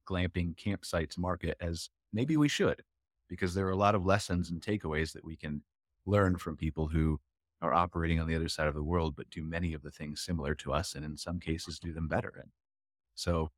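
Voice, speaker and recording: Very deep-voiced male host. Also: no echo, clean studio sound. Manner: enthusiastic, conversational. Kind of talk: panel moderation.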